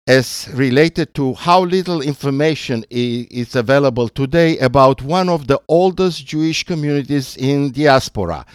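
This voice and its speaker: Older man, Romanian accent, podcast host, scripted narration, smooth clear voice, dry sound, formal deliberate delivery. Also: medium pitch, no echo. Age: 60s or older.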